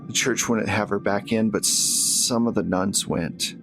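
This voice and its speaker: A man's voice: fairly low pitch, fairly even intonation, vocal fry. Haunted, regretful nun, voiced by a male game master, faltering and unsure, for a tabletop story with background music. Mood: regretful, confessing. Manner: halting, faltering with repeats. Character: confused, regretful nun haunted by shadowy memories.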